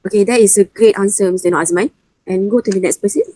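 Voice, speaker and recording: A woman's voice: mid-range voice, fast, warm tone, no echo. A young woman with a Malaysian accent asking a formal prepared question as a student interviewer. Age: young adult.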